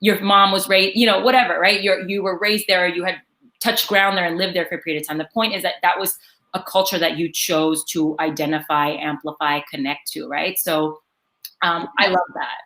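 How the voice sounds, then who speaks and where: A woman's voice: on the low side, steady tone, moderate pace, sharp tone, poor audio. Woman host, affirming and enthusiastic, casual interview delivery.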